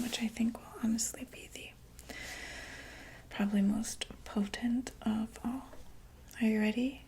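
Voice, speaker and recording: A woman whispering breathily in an ASMR medical roleplay, explaining things as a calm doctor in a gentle, hesitant, clinical way. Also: mid-range voice, rough recording. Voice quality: breathy, mostly unvoiced whisper. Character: calm, attentive doctor to monsters.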